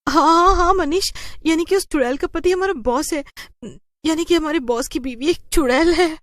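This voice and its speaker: A woman's voice: very high-pitched, normal speed, no echo, studio quality, pitched above the performer's own voice. A woman voicing an anxious, complaining young working wife in a cartoon story. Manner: exclaiming, fretful. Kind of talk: animated cartoon story voice acting.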